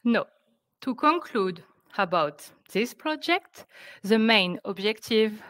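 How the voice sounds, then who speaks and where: A woman presenting scientific results on a webinar, speaking careful, halting English. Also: mid-range voice, expressive, a bit bright, no echo. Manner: careful, halting non-native English delivery. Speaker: researcher presenting project results. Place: indoors on a video call.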